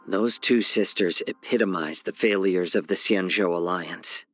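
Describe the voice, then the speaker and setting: An actor playing a calm, wry, courteous male healer and strategist in a video game, with a measured, genteel, gently teasing delivery. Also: sits low, faint music behind. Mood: reflective, measured. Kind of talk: scripted video game character voice lines.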